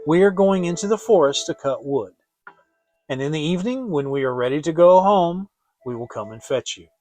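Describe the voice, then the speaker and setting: A coldly bossy storybook stepmother, acted out by a man, scolding and reproachful. The voice sits fairly high and snaps out orders impatiently in a dry, close recording. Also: animated, normal speed, mellow, dry recording, faint music behind, natural voice.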